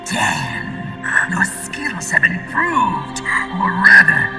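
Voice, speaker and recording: Taunting game villain, high, slow, gravelly. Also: male voice, very animated, full character voice. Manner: theatrical, taunting, drawn-out delivery. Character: theatrical male psychic villain, taunting and grandiose. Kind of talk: video game cutscene acting. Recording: game audio with clear music behind.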